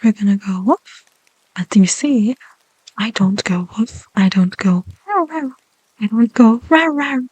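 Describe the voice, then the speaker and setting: A woman voicing a cheerful, treat-loving pet dog in a soft unvoiced whisper. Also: fairly high pitch, lively intonation, measured pace, no room sound. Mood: playful.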